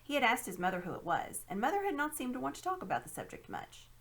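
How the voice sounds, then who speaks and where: A woman narrating a children's story aloud in an expressive read-aloud style. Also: fairly high pitch, fast. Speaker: reader.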